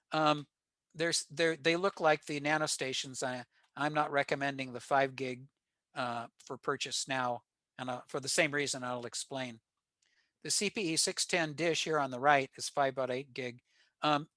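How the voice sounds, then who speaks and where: Bright, forceful American man presenting. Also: mid-range voice, dry recording. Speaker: presenter at a ham radio club meeting. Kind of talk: informal technical slide presentation.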